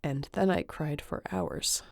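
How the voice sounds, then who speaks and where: A woman acting an exhausted, guilt-ridden, devoted space adventurer in an intimate sci-fi audio roleplay, speaking earnestly and tenderly in halting phrases. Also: low-pitched, vocal fry, full character voice. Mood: anguished confession.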